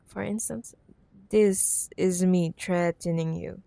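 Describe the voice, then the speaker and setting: A woman's voice: fairly low pitch, fairly even intonation, slow, creaky, mellow, no echo. A woman playing a possessive, menacing corporate CEO ex-girlfriend in a roleplay ASMR drama, mock-sweet and teasing, sometimes dropping to a whisper. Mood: teasing menace.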